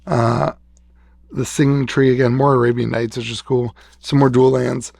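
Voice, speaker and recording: A man's voice: medium pitch, level tone, normal speed, gravelly, a little mellow, dry recording. A man who is losing his voice after being sick, chatting casually and rambling a little as he shows off a collection of cards in a vlog. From West Virginia. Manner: rambling, restarts, enthusing over old cards. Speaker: card shop owner showing a collection. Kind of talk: casual show-and-tell vlog.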